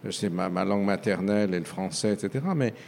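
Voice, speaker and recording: An older man from France, a writer answering interview questions in a halting, reflective way with frequent hesitations. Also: sits low, creaky, a little mellow, slight background noise. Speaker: author guest. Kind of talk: radio interview.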